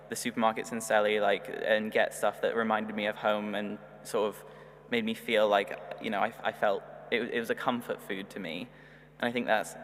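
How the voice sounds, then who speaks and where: A young man with a fairly low voice speaks as a student on a panel. He gives advice off the cuff. His voice carries through a reverberant hall over a faint hum. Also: a bit bright. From outside the UK. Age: young adult. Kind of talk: unscripted advice to new students. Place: large echoing hall. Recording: reverberant hall, humming background noise.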